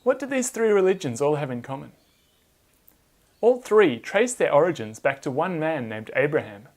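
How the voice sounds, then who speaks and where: A man hosting and narrating a scripted Bible story for children in an expressive manner, addressing listeners directly. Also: fairly high pitch, moderate pace. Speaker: storyteller and host.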